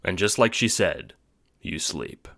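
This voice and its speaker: Deep-voiced man narrating, bright and dry. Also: animated, a little slow, no echo. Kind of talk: scripted second-person audio drama narration.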